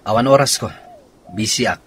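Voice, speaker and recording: A man's voice: mid-range voice, moderate pace, a bit bright, a little hiss or hum, lightly acted. An actor playing an irritable married father and office worker with an Ilocano accent in a radio drama. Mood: brusque.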